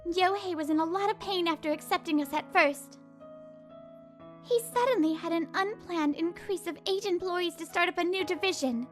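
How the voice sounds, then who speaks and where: A woman voicing a blunt, outspoken wronged wife who scolds hard and confides softly. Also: extremely high pitch, moderate pace, soft background music. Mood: angry. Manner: sharp and scolding, soft when confiding. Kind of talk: manga video voice acting.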